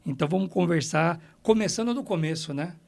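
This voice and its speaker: Guy hosting a podcast, emotional and chatty, kinda high voice. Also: fairly quick, gravelly, a little hiss or hum. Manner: warm, emotional, chatty, many "né" tags. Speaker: podcast host. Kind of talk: casual podcast interview.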